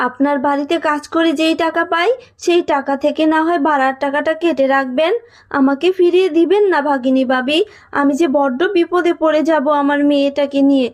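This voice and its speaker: Woman playing poor cartoon mother, high-pitched, humble, deferential housemaid. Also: natural voice. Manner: pleading, deferential. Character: poor pleading mother, housemaid stranded by flood. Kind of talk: Bengali cartoon dub.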